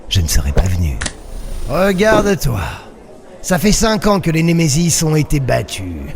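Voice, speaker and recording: A man playing a sly, mocking alien crime boss in an audio drama, with theatrical, drawn-out phrasing. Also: medium pitch, creaky. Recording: music behind the voice. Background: dramatic music.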